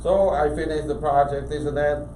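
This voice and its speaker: A man from Cambodia with a Cambodian accent, a business owner telling his story as a guest in a casual interview, animated and full of restarts. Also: a little high, normal speed. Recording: echoey room, mic at a distance, faint background noise.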